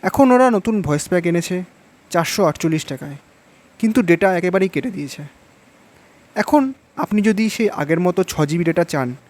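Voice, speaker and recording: High-pitched man, urgent explainer host. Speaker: video host. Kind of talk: scripted explainer addressed to viewers.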